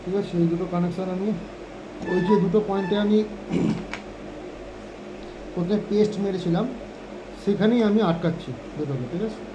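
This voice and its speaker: A man's voice: sits high, fairly quick, long pauses, noisy background. A man giving an informal hands-on phone repair tutorial, often checking in with short tag questions.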